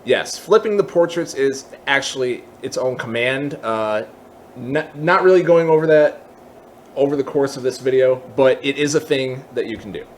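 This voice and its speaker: A man giving a casual software tutorial, explaining each step in an informal, candid way as he thinks aloud. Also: medium pitch, normal speed, a little hiss or hum. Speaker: tutorial host.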